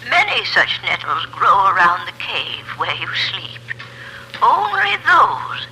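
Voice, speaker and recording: An actress playing a mysterious old woman who speaks slowly and portentously in 1940s radio drama style. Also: medium pitch, wildly swooping pitch, sharp tone, a little hiss or hum, full character voice, low-quality recording. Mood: eerie, solemn. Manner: slow, drawn-out, portentous. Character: mysterious old woman giving eerie counsel.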